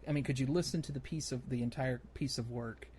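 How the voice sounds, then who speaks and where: A man hosting a casual gaming podcast, conversational and a little halting as he thinks aloud. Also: medium pitch, darkish tone. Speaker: podcast host. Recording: faint background noise.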